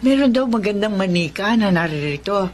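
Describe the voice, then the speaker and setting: A woman's voice: medium pitch, animated, moderate pace, darkish tone, a little hiss or hum, natural voice. An actress playing an eager, polite mother in a radio drama, speaking expressively. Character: eager, polite mother shopping for her child.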